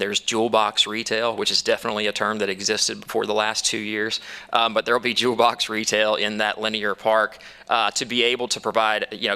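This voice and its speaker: A man presents a development plan to a town council in an upbeat, persuasive way, with the odd filler. His voice is bright and forceful, a little low in pitch, and sounds smooth and clear. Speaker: developer's attorney at a council hearing. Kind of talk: formal presentation.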